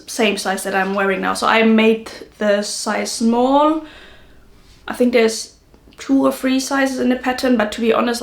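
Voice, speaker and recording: A woman's voice: a little high, vocal fry, echoey room. A woman hosting a podcast in casual, rambling solo chat, correcting herself as she goes, her voice not fully recovered from a lingering cold. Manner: rambling, self-correcting, informal. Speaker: knitting podcast host.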